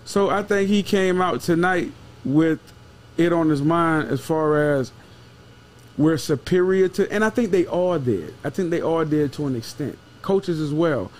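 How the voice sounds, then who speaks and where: A man's voice: a little high, expressive, unhurried. A man chatting casually about football as a show analyst, emphatic and repeating himself, with some swearing. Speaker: sports show co-host and analyst. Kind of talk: casual football postgame chat. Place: small wood-paneled studio room. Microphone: podcast microphone with headset.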